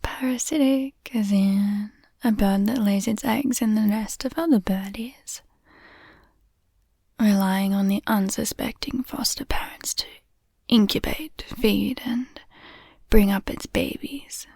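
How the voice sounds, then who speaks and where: A woman playing an affectionate, teasing girlfriend in an intimate bedtime roleplay, whispering softly and unhurriedly up close to the microphone. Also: medium pitch, slow pace, no room sound, heavily acted. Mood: playfully indignant. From Australia. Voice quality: soft, breathy whisper. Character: affectionate, teasing, nerdy young Australian girlfriend in bed. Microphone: close microphone, dry.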